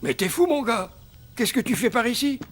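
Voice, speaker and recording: A man's voice: very high voice, expressive, moderate pace, fairly bright tone, a little hiss or hum. A man voicing a grumbling but kind old hunchback in a French cartoon, with weary, slangy talk.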